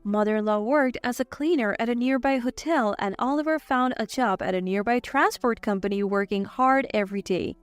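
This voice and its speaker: A woman's voice: fairly high pitch, brisk, hardly any pauses, no room sound, soft background music. A woman reading a scripted first-person story as its narrator.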